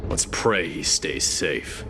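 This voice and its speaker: An actor playing a cool, battle-hardened warrior in a game, terse, brooding and unruffled. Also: male voice, rumbling low, slow, over music, exaggerated voice. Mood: grim, cool. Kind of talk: game voice acting.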